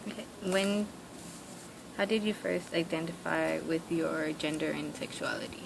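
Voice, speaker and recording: A woman's voice: low-pitched, flat delivery, unhurried, gravelly. A young woman interviewing for an oral history project, asking hesitant questions full of fillers and "like". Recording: noisy recording with room hiss.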